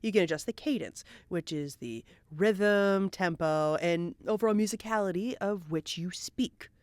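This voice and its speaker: A woman's voice: on the low side, expressive, a little slow, dry recording, studio quality. A woman giving a casual, encouraging tutorial to viewers as a voice actor, demonstrating as she explains. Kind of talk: casual instructional talk to viewers.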